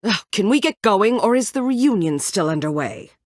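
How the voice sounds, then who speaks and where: A woman's voice: mid-range voice, expressive, normal speed, dry recording, lightly acted. A woman playing a bitter, guarded former admin and ex-prisoner in a video game, curt and dryly sarcastic. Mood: impatient, sardonic. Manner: curt, dry sarcasm, brooding.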